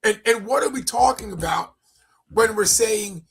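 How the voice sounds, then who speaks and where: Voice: medium pitch, moderate pace, fairly bright tone, slightly rough. A co-host on a faith podcast who steers the discussion and asks pointed questions.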